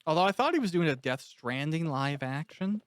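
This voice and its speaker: A man's voice: a little high, expressive, normal speed, slight background noise. A man hosting a podcast interview, chatting in an informal, talkative way. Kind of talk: casual interview chat.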